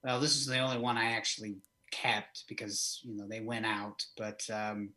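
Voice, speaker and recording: A man's voice: fairly low pitch, little pitch movement, moderate pace, bright, forceful tone. A man playing a flamboyant old vampire count from Eastern Europe as a comic horror host, rambling hesitantly through an interview in character. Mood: musing.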